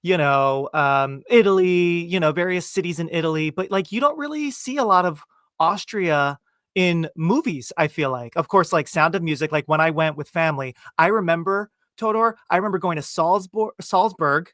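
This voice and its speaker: An American man with a somewhat high voice chats as a podcast host in an enthusiastic, informal way, often saying "like". The sound is dry and close, with very little room in it. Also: moderate pace, a bit bright, dry recording. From New Jersey, United States. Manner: chatty, enthusiastic, uses "like" often. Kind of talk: casual chat.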